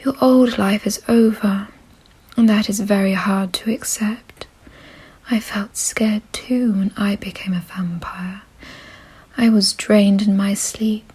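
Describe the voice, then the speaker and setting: Woman acting a slow, whispering, gentle vampire mistress. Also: mid-range voice. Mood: tender comforting, then wistful. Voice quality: breathy, husky whisper. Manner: soothing, slow, genteel, softly possessive. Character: gentle, refined, doting vampire mistress of a mansion. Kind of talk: whispered ASMR roleplay.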